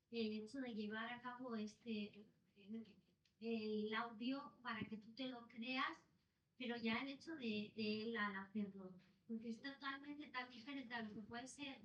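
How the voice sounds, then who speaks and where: A woman's voice: on the high side, fairly even intonation, measured pace. A woman makes an informal, rambling comment from the audience. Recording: dull, echoey, far from the mic.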